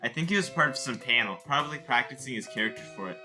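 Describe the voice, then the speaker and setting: A man's voice: mid-range voice, expressive, quick pace, natural voice. A man playing an earnest, friendly, geeky young convention fan, chatty and apologetic, in a fan comic dub. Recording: music behind the voice.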